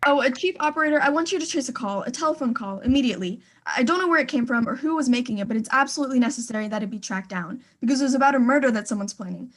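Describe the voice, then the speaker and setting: A woman's voice: high-pitched, lively intonation, racing, few pauses. A woman acting in a radio thriller, playing a fretful, imperious well-to-do wife who is indignant, demanding and stammers in agitation. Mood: agitated.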